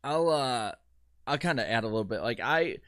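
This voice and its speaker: A man's voice: medium pitch, lively intonation, moderate pace, fairly bright tone, clean tone, no room sound. Blunt, opinionated man on a dry mic. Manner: blunt, opinionated, hesitant fillers. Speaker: podcast co-host. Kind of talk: casual chat.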